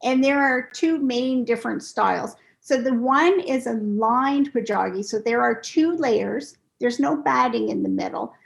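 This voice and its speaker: A woman's voice: fairly high pitch, lively intonation, a little slow, hardly any pauses. A woman guest on a podcast, telling stories and explaining a sewing craft step by step in a casual, patient way. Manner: explains in steps, patient and clear. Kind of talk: casual interview talk.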